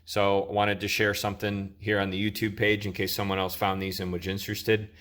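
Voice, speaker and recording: A man's voice: lowish voice, completely flat delivery, brisk, fairly bright tone. A man hosting an informal product review, talking in a casual, conversational way.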